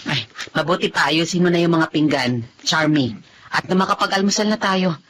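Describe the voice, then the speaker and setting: A woman's voice: fairly low pitch, normal speed, natural voice. A woman playing a homely, mildly bossy middle-aged mother-in-law in a Filipino radio drama. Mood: motherly. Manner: motherly, directing. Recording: light background noise.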